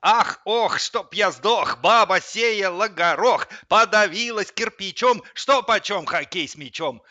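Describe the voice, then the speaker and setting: Guy playing whiny clueless henchman, hesitant n wailing, tense bright voice, audiobook style, dry. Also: medium pitch, normal speed, no echo, lightly acted. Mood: wild, wailing. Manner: hesitant, whining, wailing laments. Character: hapless, whiny gangster henchman nicknamed Hamster.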